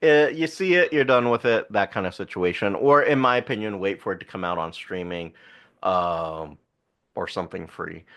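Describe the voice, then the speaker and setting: A man's voice: fairly low pitch, normal speed, fairly bright tone. A man with a Southern US accent co-hosting a casual movie review podcast, laid-back and chuckling as he talks. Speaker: podcast co-host.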